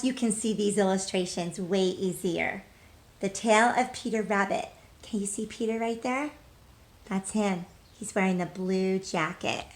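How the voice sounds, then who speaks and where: A woman with a fairly high voice talks as a teacher to young children during a storybook read-aloud. She is expressive and addresses her listeners directly, leaning on important words.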